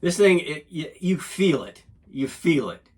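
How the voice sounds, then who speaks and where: A man's voice: a little high, big pitch swings, measured pace, a little mellow. A man giving a casual, folksy hands-on demonstration as a DIY host, often talking half to himself. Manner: folksy, talks to himself while working. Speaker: DIY channel host and product reviewer.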